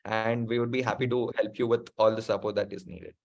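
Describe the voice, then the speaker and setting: A man's voice: on the low side, fairly even intonation, brisk, creaky. A young man presenting a webinar, answering questions politely and reassuringly, recorded on a video call in a small room. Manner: polite, reassuring, says ma'am often. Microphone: webcam on a video call.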